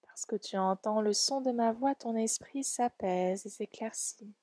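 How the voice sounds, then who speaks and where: A woman leading a guided relaxation meditation in a soft, soothing, gentle and unhurried way. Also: fairly high pitch, dry recording. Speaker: yoga nidra guide.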